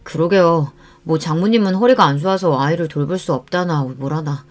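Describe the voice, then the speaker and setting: A grown son, voiced by a female narrator in a radio story. The voice is a little low for a woman's. He is casual, earnest and persuasive with his mother. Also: a little fast, close to an everyday voice. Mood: coaxing.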